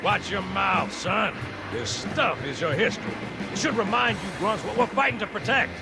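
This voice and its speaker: An actor playing a tough, sardonic veteran marine sergeant in a video game cutscene. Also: male voice, high-pitched, moderate pace, gravelly, loud music behind the voice. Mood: stern, sardonic.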